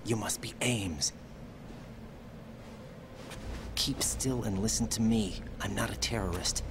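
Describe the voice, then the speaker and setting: A man's voice: lowish voice, normal speed, gravelly, background noise. An actor playing a young male special-forces operative in a video game cutscene, earnest and full of pointed questions. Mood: hushed, urgent.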